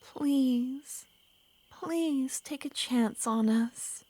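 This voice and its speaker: A woman voicing an ancient, lovesick female vampire who pleads softly and slips into whispers, in an ASMR roleplay. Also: high voice, extremely slow, dry recording, exaggerated voice. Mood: pleading. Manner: soft, pleading, drifting into whispers.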